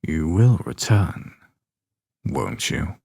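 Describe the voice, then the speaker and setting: A man voicing a smooth, courtly character with quiet menace in a fandub, speaking formally and slowly in a breathy, gravelly voice. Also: a bass voice, sing-song, no room sound, clean studio sound, full character voice. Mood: softly inviting. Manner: slow, formal, drawn-out. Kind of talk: fandub voice acting.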